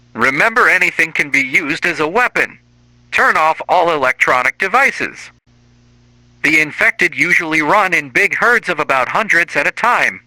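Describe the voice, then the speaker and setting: A man's voice: a little high, sharp tone, no room sound, rough recording. A man reading a scripted emergency alert as a broadcast announcer.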